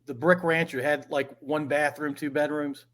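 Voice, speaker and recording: Joking man hosting a podcast, slightly high voice. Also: little pitch movement, normal speed, fairly bright tone. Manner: joking, asks short questions, laughs along. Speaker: podcast host. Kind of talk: casual interview chat.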